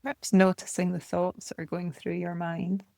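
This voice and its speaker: Female meditation guide: lower register, gravelly edge, calm and unhurried phrasing, gentle instruction. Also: dry recording, clean studio sound. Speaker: meditation teacher. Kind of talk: guided body scan meditation.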